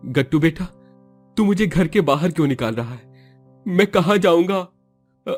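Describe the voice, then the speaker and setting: A man's voice: a little high, animated, measured pace, soft background music. A man voicing a bewildered fifty-year-old father in a moral story, plaintive and dramatic. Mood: plaintive dismay.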